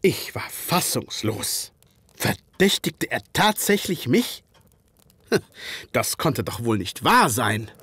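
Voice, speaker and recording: An actor playing a gentlemanly memoirist, narrating a detective radio play in a formal, expressive storytelling manner. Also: male voice, medium pitch, slow, breathy edge, dry recording, heavily acted. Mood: dismayed. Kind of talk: scripted first-person narration in radio play.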